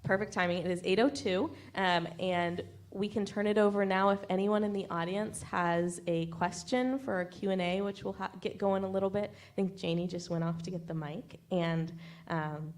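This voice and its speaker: A woman's voice: medium pitch, fairly even intonation, normal speed, few pauses. An American woman moderating a panel discussion as a radio broadcaster, talking in an unscripted way.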